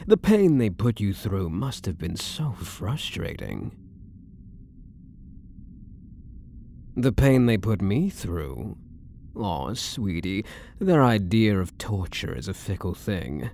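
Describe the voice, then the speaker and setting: A man's voice: on the low side, very animated, slow, creaky, mellow, exaggerated voice. A man playing a possessive, lovestruck elf prisoner in an intimate roleplay, teasing and theatrical, with doting endearments. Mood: enamoured, sly. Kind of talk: intimate ASMR roleplay acting.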